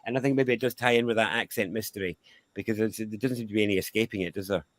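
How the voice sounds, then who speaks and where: A man's voice: lowish voice, very fast, dry recording. A Scottish man, a guest sound healer, musing in a rambling, casual way with frequent restarts and asides. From Scotland. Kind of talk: casual conversation.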